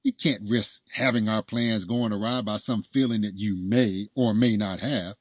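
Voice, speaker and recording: An eager, naive young husband from a storybook, played by a male narrator. He is cocky and romantic, with a husky voice heard close and dry. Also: fairly low pitch, fairly even intonation, measured pace, no room sound. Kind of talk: storybook dialogue.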